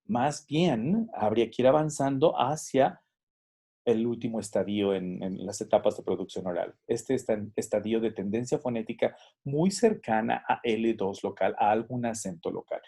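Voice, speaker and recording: A man's voice: lowish voice, moderate pace, vocal fry, warm tone, slightly rough. A man giving a recorded lesson, explaining and demonstrating English pronunciation examples. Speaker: teacher. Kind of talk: recorded lecture.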